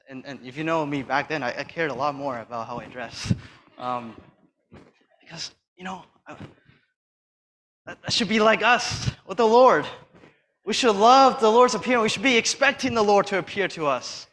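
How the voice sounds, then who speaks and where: A soft-spoken man giving a sermon and reading Bible verses, hesitant with fillers and restarts, pressing hard on key phrases. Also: fairly high pitch, lively intonation, moderate pace, long pauses, rough recording. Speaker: church speaker.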